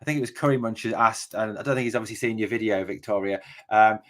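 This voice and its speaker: A man hosting a casual fan podcast, speaking haltingly with many fillers and restarts. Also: on the low side, a bit bright. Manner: halting, lots of fillers and repeated starts. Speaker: podcast host.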